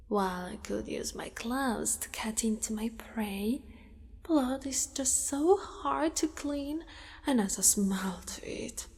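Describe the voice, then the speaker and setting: A woman playing a possessive, doting female spider monster in an ASMR roleplay, speaking softly and breathily, often in a whisper. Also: a little high. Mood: matter-of-fact, eerie. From Italy. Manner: soft, breathy, often whispered, slow and coaxing. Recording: long cavernous reverb.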